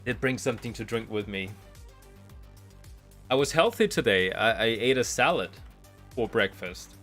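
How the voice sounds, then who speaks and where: A man's voice: mid-range voice, animated, normal speed, fairly bright tone, faint music behind. A man with a slight cold and a nasal sound, chatting casually and thinking aloud while streaming a game from home. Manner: rambling, thinking aloud with restarts. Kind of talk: casual live-stream commentary. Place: home room, rain outside.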